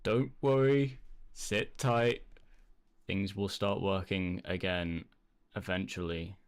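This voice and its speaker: Brief: low male voice, calm streamer, hesitant delivery with pauses. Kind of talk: casual voice chat.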